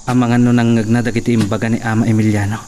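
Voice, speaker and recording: A man playing a worried, loyal young servant in a radio drama, with an anxious, hushed manner. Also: medium pitch, little pitch movement, rapid-fire, a little hiss or hum, close to an everyday voice. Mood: fearful. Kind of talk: radio drama acting with inner monologue.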